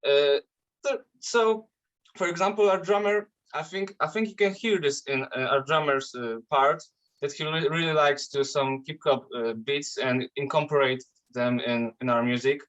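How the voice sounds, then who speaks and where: A man's voice: on the high side, moderate pace, fairly bright tone. A man from Poland with a Polish accent, a metal band vocalist chatting loosely in an interview, swearing and restarting his sentences. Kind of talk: casual interview chat.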